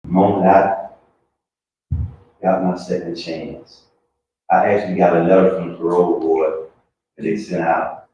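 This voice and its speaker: A man's voice: low-pitched, level tone, unhurried, long pauses, dark tone. A man in his fifties giving personal testimony as a guest speaker at a live event. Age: 50s. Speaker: guest speaker, trucking business owner. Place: indoor room at a live event. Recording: very echoey room, distant dull mic, faint noise.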